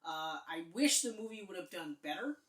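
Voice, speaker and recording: A man's voice: high-pitched, animated, normal speed, a bit bright. A man co-hosting a podcast, chatting casually about movies in a rambling way, full of fillers and restarts. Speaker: podcast co-host. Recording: roomy echo, mic distant.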